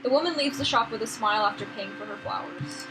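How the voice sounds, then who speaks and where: A woman's voice: medium pitch, fast talker, music in the background. A woman hosting a let's play, reading visual novel narration aloud. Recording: noisy room recording, no proper mic.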